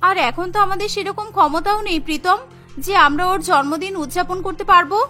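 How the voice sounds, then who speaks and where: A woman playing a high, protective young sister-in-law. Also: brisk, sharp tone, faint music behind. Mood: sympathetic. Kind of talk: Bengali cartoon dubbing.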